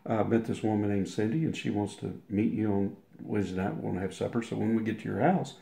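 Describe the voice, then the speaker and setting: A man's voice: fairly low pitch, fairly even intonation, fast talker, creaky, mellow. An older man telling a long personal anecdote as an interview guest, rambling with restarts and acting out the quotes. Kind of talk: casual storytelling. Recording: roomy echo.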